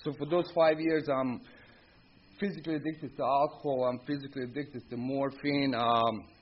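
A man's voice: mid-range voice, fairly even intonation, normal speed. A middle-aged man from Croatia with a Croatian accent, telling his personal story at a recovery meeting in blunt, candid, short sentences. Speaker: speaker sharing his story at an Alcoholics Anonymous meeting. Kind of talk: personal recovery testimony, informal spoken storytelling. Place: live talk at a recovery meeting. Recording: dull lo-fi audio with clear background noise.

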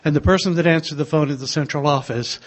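An older man with a soft voice, sharing his own story in a gentle, wry way. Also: a little high, fast talker. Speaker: speaker sharing a recovery story. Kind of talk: personal testimony to a group.

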